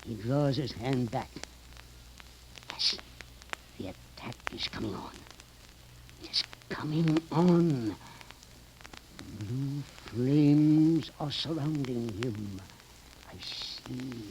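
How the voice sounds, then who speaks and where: An actor playing a sinister, proud professor who casts deadly spells, speaking ominously in 1930s radio horror style. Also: male voice, mid-range voice, animated, darkish tone. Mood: sinister, intent. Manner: slow, ominous, theatrical trance-like narrating. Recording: noisy 1930s radio recording.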